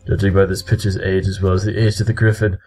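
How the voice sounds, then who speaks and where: Man playing a low-voiced, haughty pony detective. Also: flat delivery, fast talker, darkish tone, faint music behind. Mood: haughty, certain. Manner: haughty, precise, pedantic. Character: aloof male pony detective, Sherlock Holmes parody. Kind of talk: audio drama acting.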